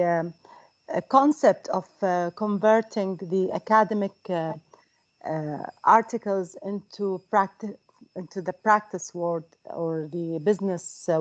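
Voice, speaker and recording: Woman from kuwait, halting polite speech, muffled lo-fi call audio. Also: mid-range voice. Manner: hesitant, many ums and restarts, polite. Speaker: participant asking question. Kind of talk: webinar comment and question. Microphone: video call.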